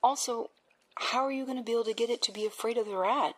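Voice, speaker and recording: A woman's voice: fairly high pitch, fast talker, lightly acted. An actress playing a young female research assistant who questions plans in a dry, practical way. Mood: skeptical. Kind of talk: audio drama acting.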